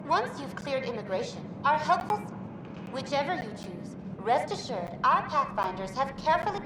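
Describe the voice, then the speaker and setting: Game AI greeter: high-pitched, cheery, courteous, glitchy, distant and echoing. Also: female voice, very animated, normal speed, background noise. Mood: cheerful, automated. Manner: polished, overly courteous, automated. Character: cheerful glitching female virtual intelligence guide. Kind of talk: scripted welcome announcements.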